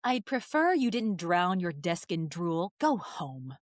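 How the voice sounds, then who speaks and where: A woman's voice: on the low side, big pitch swings, takes it slowly, no echo, studio quality. A woman playing an imperious older fashion boss, cold and commanding, with a clipped, withering manner, in a dramatized story reading. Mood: curt, commanding. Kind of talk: dramatized fan fiction reading.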